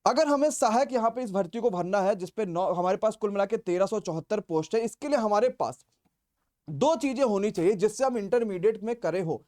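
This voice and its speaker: A man's voice: high voice, a little fast, darkish tone. A man explaining informally as a coaching teacher, repeating key points for emphasis. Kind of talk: informal exam vacancy briefing.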